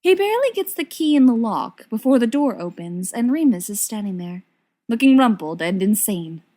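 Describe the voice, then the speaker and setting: A woman reading scripted fiction aloud as an expressive narrator. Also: on the high side, moderate pace. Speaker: podfic reader.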